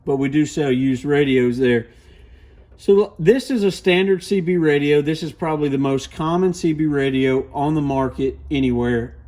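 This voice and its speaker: Man reviewing gear, slightly high voice. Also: mellow. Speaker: host of an amateur radio video channel. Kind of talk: casual product review to camera.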